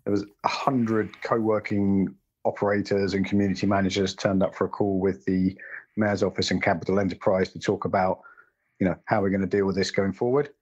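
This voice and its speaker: A man's voice: low-pitched, flat delivery, fairly quick, darkish tone, slightly rough. A man co-hosting a podcast, rambling in casual chat with wry asides and self-corrections. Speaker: podcast co-host. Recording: dull lo-fi sound.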